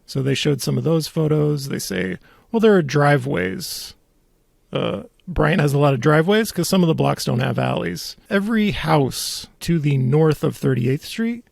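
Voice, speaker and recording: A man's voice: on the high side, vocal fry, a little mellow, no room sound, clean studio sound. A man hosting a local politics show, delivering indignant, opinionated commentary with emphatic repetition. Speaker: podcast host.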